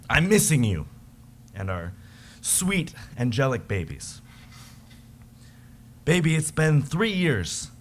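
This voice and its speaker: A man playing a weary, homesick modern soldier, reading a heartfelt, halting letter to his wife. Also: mid-range voice, fairly bright tone, heavily acted. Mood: tender longing.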